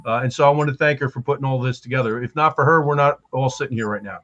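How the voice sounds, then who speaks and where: A man's voice: mid-range voice, steady tone, rapid-fire, a bit bright. A man hosting a panel show, playful and hyped, tossing out nicknames and catchphrases. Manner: hype, playful, calls people baby. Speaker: show host. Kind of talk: casual panel chat. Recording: slightly dull sound with faint noise.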